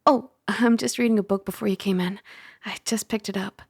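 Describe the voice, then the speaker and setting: A woman playing a caring, teasing young dragon girl roommate in a soft-spoken ASMR roleplay, gentle and conversational on a close mic. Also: mid-range voice, steady tone, a little fast, gravelly, husky, dry recording. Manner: gentle, playful, conversational, with sighs and hushed asides. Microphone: close microphone.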